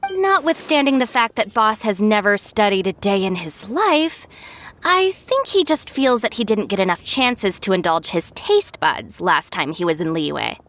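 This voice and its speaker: A sensible young woman in an anime game, a dryly exasperated deputy. Her pitch sits mid-range but moves expressively as she explains things in articulate detail. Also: big pitch swings, measured pace, fairly bright tone, a little hiss or hum. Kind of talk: anime-style video game voice acting.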